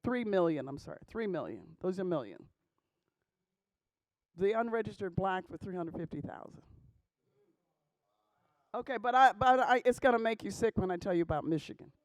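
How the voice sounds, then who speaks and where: A woman's voice: mid-range voice, lively intonation, moderate pace, pauses often, dry recording. A woman addressing a group of pastors in an emphatic talk, often checking in with "okay?" and asking rhetorical questions. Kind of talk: emphatic talk to a church audience.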